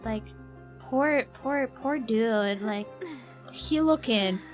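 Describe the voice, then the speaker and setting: A woman streamer talks casually over her game. Her voice is somewhat high, with a playful, expressive delivery. Faint game music runs behind her. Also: drawn-out words. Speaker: live streamer.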